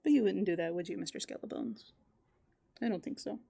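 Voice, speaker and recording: Creaky-voiced woman musing in casual ASMR. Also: mid-range voice, fairly quick, noisy background. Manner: musing, hesitant, whimsical. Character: playful raccoon character. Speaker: ASMR roleplay streamer. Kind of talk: soft, casual ASMR roleplay chat.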